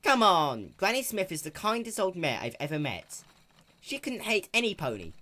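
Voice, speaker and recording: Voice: mid-range voice, sing-song, moderate pace, pushed and bright, pitched above the performer's own voice. A performer voicing a casual, apologetic young boy dragon with a youthful, slightly whiny manner. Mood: puzzled.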